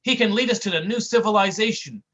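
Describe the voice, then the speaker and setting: A man voicing a gruff, bullying male slapstick partner who talks in snappy tough-guy street slang. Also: sits high, quick pace, a bit bright, dull audio, voice pushed up.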